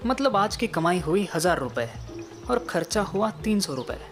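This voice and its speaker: A woman's voice: fairly low pitch, sing-song, normal speed, music in the background. A woman voicing a trusting, hardworking male snack seller in a cartoon story. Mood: pleased, counting.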